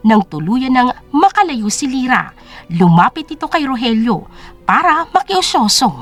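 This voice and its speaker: High, animated female story narrator. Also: moderate pace, soft background music. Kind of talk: scripted dramatic narration.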